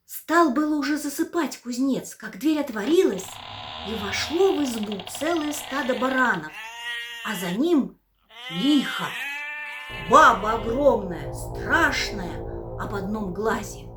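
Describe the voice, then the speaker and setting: Expressive female storyteller, higher voice, lively sing-song delivery, for bedtime tale. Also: unhurried, music in the background. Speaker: actress reading a fairy tale.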